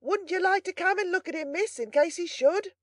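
Woman putting on an eager, high-pitched young manservant. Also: a little fast, dry recording, studio quality. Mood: eager bawling. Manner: bawling, eager. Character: naive, eager young male servant who bawls. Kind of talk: audiobook character voice.

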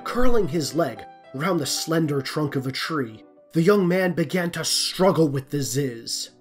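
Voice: medium pitch, animated, measured pace. A narrator reading a scripted storybook tale. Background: plucked string music.

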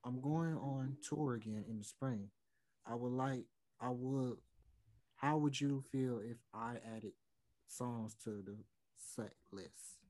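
A man's voice: mid-range voice, slow pace, darkish tone, natural voice. A young man playing a regretful, closeted touring musician, earnest and hesitant as he pleads to reconcile in a play read over video call. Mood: hesitant, hurt. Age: young adult. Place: small room at home, indoors.

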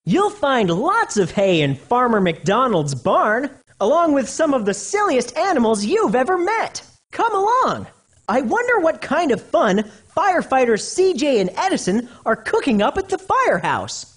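A man voicing an upbeat young cartoon rabbit who guides children through an educational game, bouncy, encouraging and clearly enunciated. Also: very high voice, very animated, a little slow, heavily acted. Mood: excited, playful. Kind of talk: animated educational game voice acting.

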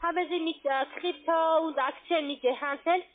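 A woman with a non-native foreign accent, giving a formal scripted sales pitch with frequent short tag questions. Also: fairly even intonation, moderate pace. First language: not German. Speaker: call centre sales agent. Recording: narrow-band phone line.

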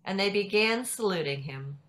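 A woman reading scripture aloud as a dramatic narrator, measured and deliberate, at home on a video call. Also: mid-range voice, lively intonation, fairly bright tone.

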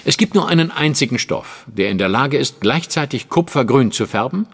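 Husky, superior Victorian detective. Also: male voice, mid-range voice, expressive, normal speed, long reverb tail. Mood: superior, assured. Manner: precise, formal, condescending. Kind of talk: theatrical German audio drama acting.

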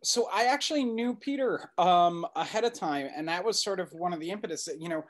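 Male, middle-aged, slightly high voice, film director guest recounting his project on a video call. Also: brisk. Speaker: documentary director, interview guest. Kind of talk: conversational interview answers, storytelling. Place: indoor room at home, video call.

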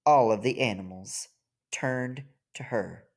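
A man narrating a children's picture book in an expressive read-aloud style. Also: mid-range voice, slow, vocal fry. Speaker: storybook reader.

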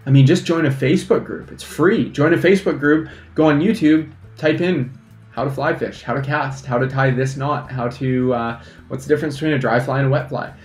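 A young man, a fly fishing instructor, giving energetic casual advice to camera at a desk microphone, often checking in with "right?". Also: medium pitch, brisk, reverberant, faint music behind. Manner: energetic, animated hand gestures, lots of "right?". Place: small indoor studio against a plain backdrop. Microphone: desk microphone in front.

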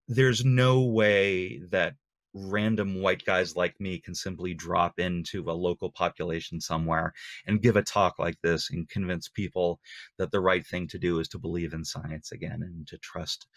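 Middle-aged man, low register, earnest conference speaker with measured phrasing, dry room sound. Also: fairly bright tone, no room sound. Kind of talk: lightning talk to an online audience. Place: small home room with bookshelves, indoors. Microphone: webcam video call.

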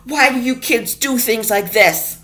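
A grumpy old shopkeeper from a storybook, bellowing and scolding in a raised, higher voice, as a woman reading aloud puts him on. The voice pushes up in pitch and volume and is delivered slowly. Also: soft background music. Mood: angry. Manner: loud, scolding.

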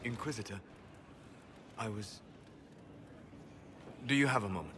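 A man playing a calm, reserved, scholarly elf mage in a video game, speaking formally in deliberate, measured phrases. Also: on the low side, a little hiss or hum. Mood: earnest, thoughtful. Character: calm, scholarly, reserved elven mage, admiring yet condescending. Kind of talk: video game dialogue acting.

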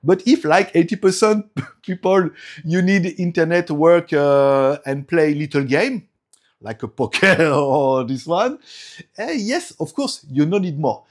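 A man's voice: a little high, lively intonation, measured pace. A man in his forties with a French accent, a native French speaker, talking casually in broken English and laughing often as he reviews tech at home. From France.

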